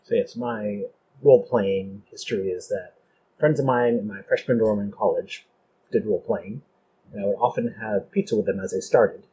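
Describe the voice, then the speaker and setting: A man with a fairly low voice hosts in a casual, conversational interviewing style. The audio is somewhat muffled and lo-fi, with noise in the background. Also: normal speed, warm tone. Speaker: podcast host. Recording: dull lo-fi audio, clearly noisy.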